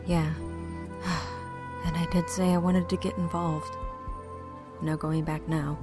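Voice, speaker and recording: A woman's voice: deep voice, level tone, takes it slowly, music in the background. A woman voicing a cautious, teasing teenage boy in a fan audio drama, wry and doubtful. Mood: wry, hesitant.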